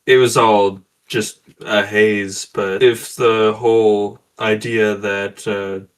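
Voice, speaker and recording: A man playing a tabletop roleplaying game, speaking improvised in-character dialogue in a halting, deliberate way. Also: fairly low pitch, little pitch movement.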